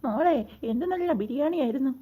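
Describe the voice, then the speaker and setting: A performer voicing a kindly old grandmother in a cartoon comedy, gentle and indulgent, with faint noise and clicks. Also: high voice, expressive, brisk, narrow, tinny audio, pitched below the performer's own voice. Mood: fond, regretful.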